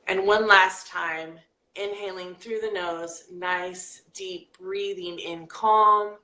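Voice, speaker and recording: A woman hosting a live coaching video, reading viewer comments and leading a calm guided breathing exercise. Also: medium pitch, echoey room, rough recording. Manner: lively, reads viewer comments aloud, turns soft and slow for the breathing exercise.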